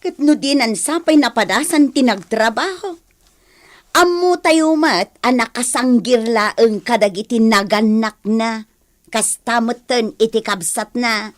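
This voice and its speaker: Lady playing sensible modest ilocano wife, radio drama, voice kinda high. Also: a little slow, close to an everyday voice. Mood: questioning.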